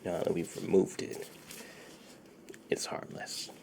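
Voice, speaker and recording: A man doing a soft-spoken, half-whispered ASMR roleplay in a soothing, reassuring manner, murmuring and repeating himself close to a sensitive microphone. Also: slow. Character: friendly shady dealer treating a client's tingle immunity. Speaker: ASMR performer.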